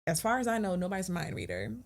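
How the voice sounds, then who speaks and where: Low-voiced calm woman therapist. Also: vocal fry. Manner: measured, affirming, calm therapeutic tone. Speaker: therapist guest. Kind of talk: reflective podcast conversation.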